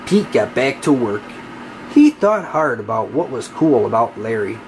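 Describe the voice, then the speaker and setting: Storybook narrator, slow pace, very lively pitch, expressive, faint background noise. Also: low-quality recording. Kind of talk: children's read-aloud narration.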